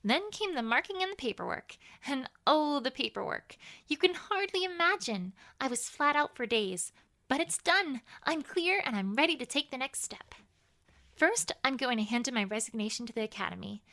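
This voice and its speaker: Woman playing bookish young cartoon pony scholar, high voice, earnest, conversational, audio drama letters. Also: animated, normal speed, pushed and bright, full character voice. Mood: relieved, determined.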